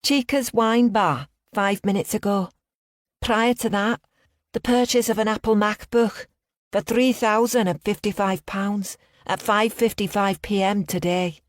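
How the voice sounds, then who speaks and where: A woman's voice: medium pitch, fairly even intonation, unhurried, no echo, clean studio sound, voice pushed up, lightly acted. A woman reading an audiobook, voicing a female scam caller who poses as a bank worker in a put-on Liverpool accent, formal and overly polite. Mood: smooth, insistent.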